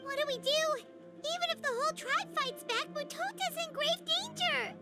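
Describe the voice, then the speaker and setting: A woman voicing a small, excitable and anxious girl companion in a video game, with frantic, squeaky, high-pitched cries. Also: moderate pace. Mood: worried. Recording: game audio over loud music.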